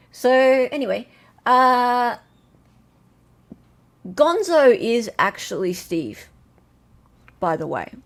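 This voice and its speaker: An Australian woman hosting a casual live-stream chat, excitable and talkative, reading out viewers' comments. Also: mid-range voice, vocal fry. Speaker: livestream host.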